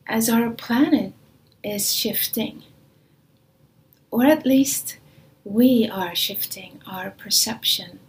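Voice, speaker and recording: A woman whose native language is Swedish, giving a spiritual talk in a soft manner that often drops near a whisper, speaking away from her usual studio. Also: medium pitch, low-quality recording. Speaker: spiritual teacher.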